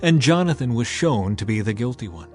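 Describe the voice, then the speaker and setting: A man reading scripture as a narrator in a measured, solemn way. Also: mid-range voice, animated, soft background music.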